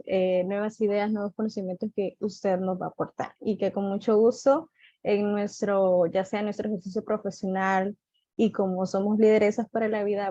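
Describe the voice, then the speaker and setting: A woman speaks formally and articulately. Her tone is mellow and dark, and the audio is dull, lo-fi and dry. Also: medium pitch, no echo. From El Salvador. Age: 20s. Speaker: workshop participant. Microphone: video call. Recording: dull lo-fi video call audio.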